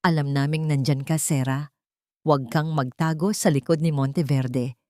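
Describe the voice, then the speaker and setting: A woman voicing an arrogant, menacing male rival in a narrated drama, taunting and deliberate. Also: sits low, fairly even intonation, warm tone, no room sound, studio quality, pitched below the performer's own voice, natural voice. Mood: threatening.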